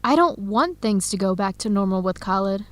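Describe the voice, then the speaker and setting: An actress playing an earnest young researcher who confides carefully and clearly in an English-learning radio drama. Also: female voice, mid-range voice, expressive, moderate pace, no room sound, close to an everyday voice. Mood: earnest, lovesick.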